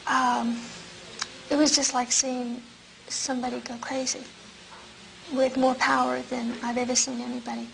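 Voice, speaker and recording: High, creaky, flat-toned woman recalling haltingly. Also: slight background noise, rough recording. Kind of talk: reflective interview recollection.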